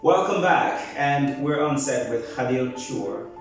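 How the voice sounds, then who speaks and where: A man's voice: mid-range voice, takes it slowly. A man hosting an interview, giving brief affirmations and announcer-style links between segments. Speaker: interviewer, show host. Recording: echoey room, mic far off, music behind.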